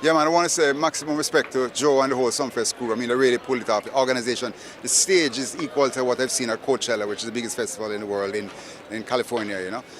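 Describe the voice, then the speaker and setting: A man's voice: medium pitch, steady tone, racing. A man giving an enthusiastic interview reaction, full of superlatives. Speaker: festival attendee giving comment. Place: outdoors at a festival. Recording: outdoor crowd noise behind.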